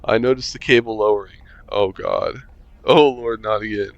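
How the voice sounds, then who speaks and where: A man's voice: on the low side, fairly even intonation, moderate pace, vocal fry, no echo, lo-fi sound. A man reading a visual novel aloud for a let's-play, chuckling and adding wry asides. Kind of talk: casual let's-play narration.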